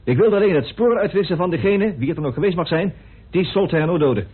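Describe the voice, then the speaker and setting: A man's voice: high-pitched, lively intonation, fast, slightly rough. An actor in a radio play voicing a stubborn, wry man guarding a secret, emphatic and evasive. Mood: defensive, wry. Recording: muffled phone-like old radio recording.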